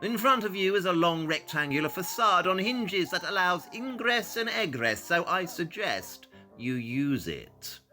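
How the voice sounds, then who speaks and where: An actor playing a cold, brilliant detective who speaks in a curt, condescending and precise way. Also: male voice, high-pitched, sing-song, measured pace, hardly any pauses, pushed and bright, exaggerated voice. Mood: impatient. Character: cold, brilliant male detective, aloof and impatient. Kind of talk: audio drama acting. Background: faint piano music.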